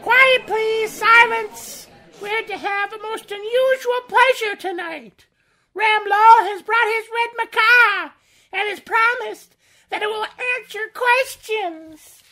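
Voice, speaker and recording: An actress playing an effusive, gushing society hostess in a 1930s-style radio drama. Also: female voice, very high-pitched, takes it slowly, bright, forceful tone, a little hiss or hum, heavily acted.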